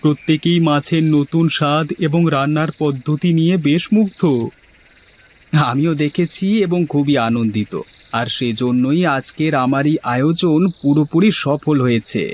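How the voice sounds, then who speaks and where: A man's voice: a little high, unhurried, slight background noise, natural voice. A man playing an earnest villager in a cartoon, speaking in a formal, declaiming way. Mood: proud, grateful. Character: earnest male villager who organises a village fish fair. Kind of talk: scripted cartoon dialogue.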